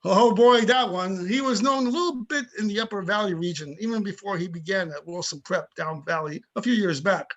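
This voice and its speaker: A man playing a tough but amicable older police chief in an amateur readers theater, plainspoken and opinionated, with a husky voice. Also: sits high, a little fast, hardly any pauses, natural voice. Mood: disdainful. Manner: plainspoken, opinionated, small-town storytelling. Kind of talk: amateur readers theater reading over videoconference.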